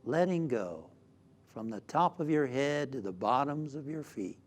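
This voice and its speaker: A man, a minister, leading a spiritual guided meditation in a soothing, deliberate way with frequent pauses. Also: medium pitch, expressive. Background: background music.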